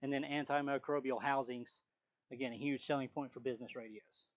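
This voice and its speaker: A man's voice: medium pitch, steady tone, brisk, dull audio. A man leading a live sales training on a video call, talking casually with frequent fillers and restarts.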